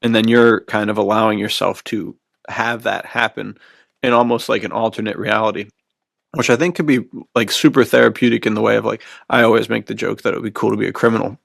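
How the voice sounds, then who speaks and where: A man's voice: fairly low pitch, fairly even intonation, a little fast, creaky, fairly bright tone, dry recording, clean studio sound. A man hosting a casual podcast chat, talking in an informal, agreeable, laid-back way. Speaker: podcast host.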